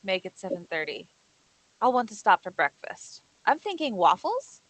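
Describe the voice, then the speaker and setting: Young female horror lead, read by an actress: sarcastic, putting on bravado, conversational, mid-pitched, dry sound. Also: sing-song, moderate pace, no room sound, rough recording. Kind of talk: table read of a horror film script.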